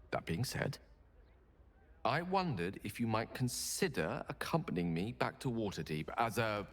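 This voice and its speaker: An actor playing a tender, brilliant wizard in a video game, speaking in an eloquent, breathy, intimate and hesitant way. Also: male voice, fairly low pitch, a bit bright. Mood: tender, earnest. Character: brilliant, tender, wordy male wizard in love.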